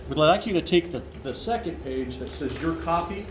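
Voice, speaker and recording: A man's voice: a little high, expressive, fairly quick. Big-voiced man presenting in an echoey room. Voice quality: big, carrying voice. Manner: friendly, conversational, invites questions. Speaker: financial seminar presenter. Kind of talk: presentation to a seated audience. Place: restaurant dining room. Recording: echoey room, mic far off, noisy.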